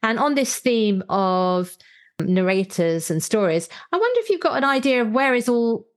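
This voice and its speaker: A woman hosts a podcast in a conversational way. Her voice sits at a middle pitch. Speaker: podcast host. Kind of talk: conversational podcast interview and promo.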